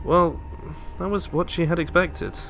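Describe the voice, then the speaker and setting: A man giving a dramatic, deliberate audiobook narration of a suspenseful horror story. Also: on the high side, vocal fry, fairly bright tone. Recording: music under the voice.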